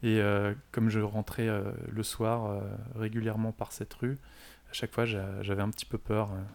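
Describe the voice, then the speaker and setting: A man with a somewhat low voice, talking casually as a guest. He hesitates often, filling pauses and restarting his sentences as he describes something. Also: little pitch movement, a little mellow. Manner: hesitant, many fillers and restarts. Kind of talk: casual chat.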